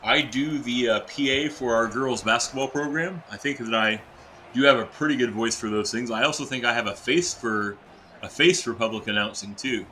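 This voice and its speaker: A young adult man, a teacher by day, giving casual, excitable live-stream commentary while playing a football video game and chatting with viewers. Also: mid-range voice, moderate pace, a little hiss or hum. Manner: excitable, chatty, reacts to plays and reads chat aloud.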